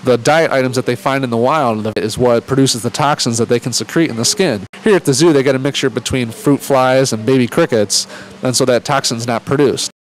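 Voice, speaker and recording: A man's voice: medium pitch, fairly quick, rough recording. A man speaking as a zoo keeper, giving a casual, explanatory educational presentation. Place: zoo exhibit area.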